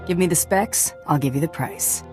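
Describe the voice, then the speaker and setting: A woman's voice: deep voice, moderate pace, darkish tone, soft background music, natural voice. Woman as a cool, dry bounty hunter. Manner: dry, terse. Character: cool, tough female bounty hunter, businesslike and sardonic. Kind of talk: video game character acting.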